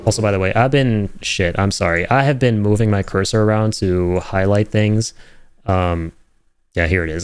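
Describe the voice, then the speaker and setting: A man giving casual reaction commentary, rambling and thinking aloud with some excitement. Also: on the low side, clean tone, no room sound. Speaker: video creator reacting to game trailers.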